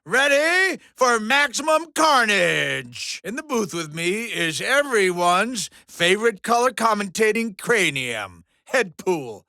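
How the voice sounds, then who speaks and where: Bombastic, high-pitched alien arena showman. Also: very animated, takes it slowly, vocal fry, hardly any pauses, bright, forceful tone, no echo, clean studio sound, heavily acted. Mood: showy hype. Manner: theatrical, bombastic, alliterative showmanship with sarcastic asides. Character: flamboyant, ratings-obsessed alien showman and bloodsport arena announcer. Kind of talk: video game villain voice acting as sports announcer.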